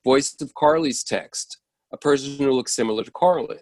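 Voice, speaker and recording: A man's voice: medium pitch, normal speed, a little mellow, no echo. A man reading a play's stage directions aloud, occasionally stumbling over words and correcting himself. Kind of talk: reading a play script aloud.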